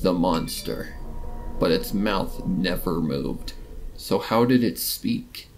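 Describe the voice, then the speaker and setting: Low-voiced male story narrator. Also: expressive, slow pace, crowd noise. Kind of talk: dramatic scripted story narration.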